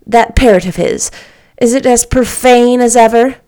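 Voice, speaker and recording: A brisk, chirpy little lady near fifty who talks with bold emphasis, as a woman reading a storybook puts her on. Her voice is pitched fairly high, and the pace stays measured. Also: animated.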